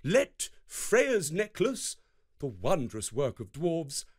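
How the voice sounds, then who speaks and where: Guy doing a wise solemn god for kids story, high voice, very slow measured advice. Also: huge pitch swings, husky, exaggerated voice. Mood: solemn advising. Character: wise, solemn watchman god giving counsel. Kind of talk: children's storybook reading.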